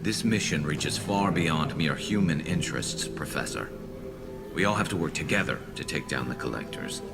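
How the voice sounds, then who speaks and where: A man's voice: a bass voice, lively intonation, moderate pace, vocal fry, heavily acted. A man voicing a grave, level-headed alien soldier in a video game. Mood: grave, persuasive. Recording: loud music behind the voice.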